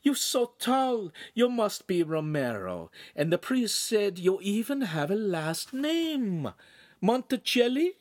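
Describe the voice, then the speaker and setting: Man voicing a high-pitched gushing Italian signora. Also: big pitch swings, slow pace, exaggerated voice. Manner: gushing, effusive. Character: gushing motherly Italian housewife, gracious and soothing.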